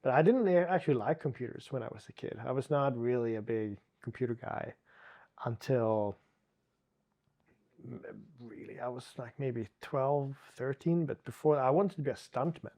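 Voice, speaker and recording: A man from Sweden with a Swedish accent, a guest telling his story in a relaxed chat, with frequent restarts and fillers. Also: medium pitch, normal speed. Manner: talks with many restarts and "you know" fillers.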